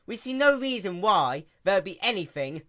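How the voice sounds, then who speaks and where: A woman's voice: medium pitch, sing-song, moderate pace, fairly bright tone, voice pushed up. A woman voicing a regal, haughty pony princess with grand, formal diction, easily outraged, in a story reading. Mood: haughty, amused.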